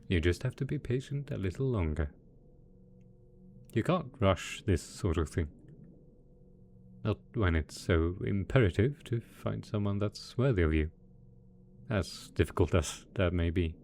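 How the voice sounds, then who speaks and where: A man voicing a melodramatic, possessive mad scientist supervillain boss in a roleplay audio drama, smug and coaxing in manner. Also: lowish voice. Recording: faint background noise.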